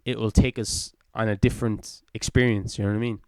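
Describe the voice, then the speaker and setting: Man, 20s, podcast co-host, earnest and rambling, smooth lowish voice, dry close sound. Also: level tone, a little fast, a little mellow, dry recording. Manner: rambling, earnest, many fillers such as "like". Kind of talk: casual chat.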